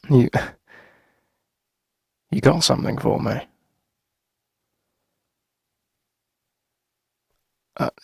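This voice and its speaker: A man playing a shy, friendly, flirty coffee shop owner in a gentle ASMR roleplay, speaking hesitantly in a husky, breathy voice. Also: mid-range voice, no echo, clean studio sound, exaggerated voice. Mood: warm, curious. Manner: hesitant, gentle, halting with soft chuckles. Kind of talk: soft conversational ASMR roleplay.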